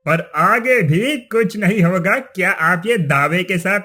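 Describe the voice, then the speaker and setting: A man's voice: sits high, animated, takes it slowly, sharp tone, exaggerated voice. A man playing an accusing, superstitious village chief in a cartoon story, speaking insistently. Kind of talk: Hindi cartoon story acting.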